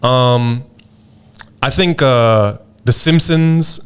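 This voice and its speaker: A middle-aged man from Indonesia, a rapper telling stories in a relaxed podcast chat, with lots of fillers and laughs. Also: medium pitch, drawn-out words, clean tone. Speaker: guest rapper. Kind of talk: casual podcast interview.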